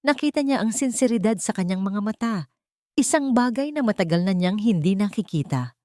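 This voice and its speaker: A woman narrating a scripted dramatic story in measured, short phrases. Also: medium pitch, expressive, a little mellow, no room sound, studio quality.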